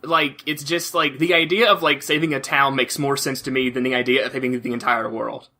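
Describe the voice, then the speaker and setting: A man chatting casually about video games as a podcast co-host, haltingly, often repeating and restarting his phrases. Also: mid-range voice, fairly even intonation, pushed and bright.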